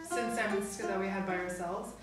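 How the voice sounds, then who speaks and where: A woman's voice: mid-range voice, fairly even intonation, quick pace, music in the background. A young woman from the United States chatting casually as an interviewee, on a living room sofa. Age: young adult. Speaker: student interviewee. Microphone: far camera. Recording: very echoey room, distant mic.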